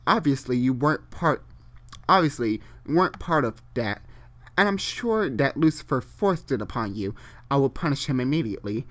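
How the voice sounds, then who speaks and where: A story reader plays a wrathful God addressing his son. He is stern and commanding at a middle pitch, with an accusing edge. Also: lively intonation, moderate pace, no echo, natural voice.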